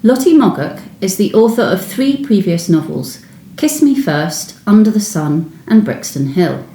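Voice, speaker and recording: A woman's voice: mid-range voice, very animated, measured pace, dark tone. A woman hosting a podcast, reading prepared introductions and putting questions to a guest. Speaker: podcast host and interviewer. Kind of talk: scripted introduction and interview questions. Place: indoors in a room at the guest's home. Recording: roomy echo, faint background noise.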